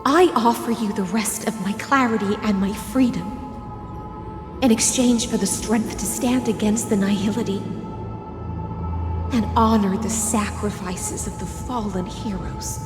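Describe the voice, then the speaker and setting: A woman voicing a mythic game heroine, tender, mournful and resolute, speaking lyrically and deliberately in a poetic monologue. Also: medium pitch, lightly acted. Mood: solemn. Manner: slow, lyrical, deliberate delivery. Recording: echoey long reverb under loud music.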